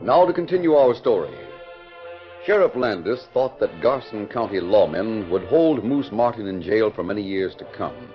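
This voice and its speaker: Low-pitched radio western narrator. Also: male voice, very animated, normal speed. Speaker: announcer and narrator. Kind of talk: dramatic scripted 1940s radio narration. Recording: dull vintage radio recording, faint music.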